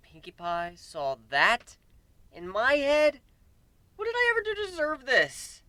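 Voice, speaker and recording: A man's voice: extremely high pitch, wildly swooping pitch, measured pace, pushed and bright, no room sound, pitched above the performer's own voice, exaggerated voice. A man voicing an exasperated, sarcastic griffin swordsman in a fan story reading, prone to panicky outbursts. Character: hot-headed, exasperated, melodramatic male griffin swordsman.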